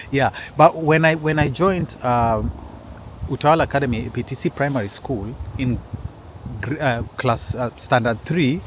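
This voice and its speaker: A man's voice: mid-range voice, a little slow, pauses often, a little hiss or hum, rough recording. Rambling storyteller man. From Kenya. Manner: rambling, with frequent restarts and asides to listeners. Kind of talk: casual personal storytelling to an audience.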